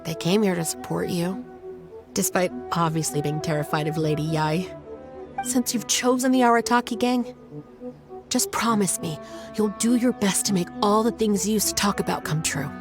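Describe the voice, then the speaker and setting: A woman's voice: mid-range voice, natural voice. A woman voicing an earnest, caring sister in a video game, plain-spoken and exclamatory. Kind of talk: scripted video game voice acting.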